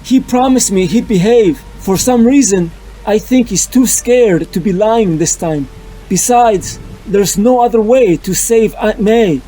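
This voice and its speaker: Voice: mid-range voice, measured pace, dark tone, soft background music, close to an everyday voice, poor audio. A performer voicing a young male superhero who is earnest, apologetic and set on a hard choice, in a fan dub of a motion comic. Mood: resolute. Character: young male superhero, guilt-ridden and determined.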